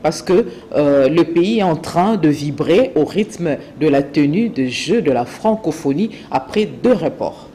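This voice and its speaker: A male interviewer with a Congolese French accent and a slightly high voice puts questions to a political guest. He searches for his words, stretching some and repeating others as he goes. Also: animated, measured pace, hardly any pauses, mellow, slight background noise.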